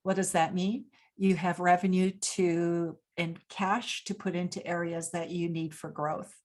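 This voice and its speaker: A woman from Japan speaking as an expert guest, explaining points deliberately and emphatically, posing questions and answering them. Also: lowish voice, little pitch movement. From Japan, grew up there. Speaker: interview guest, business expert. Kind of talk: explanatory interview talk.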